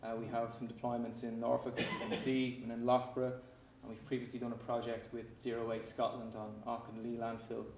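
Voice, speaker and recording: A man with an Irish accent giving a startup pitch, often restarting his sentences around "uh" and repeated words. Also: monotone, fairly quick, darkish tone. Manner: frequent fillers and repeated restarts. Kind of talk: pitch presentation. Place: conference hall. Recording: echoey hall, distant mic, faint noise.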